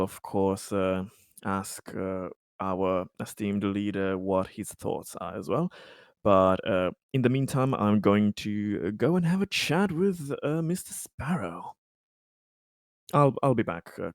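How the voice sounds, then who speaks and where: A man playing a tabletop roleplaying game, improvising his character's dialogue in a deliberate, halting and somewhat formal manner. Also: fairly low pitch, clear, resonant tone, no room sound, studio quality.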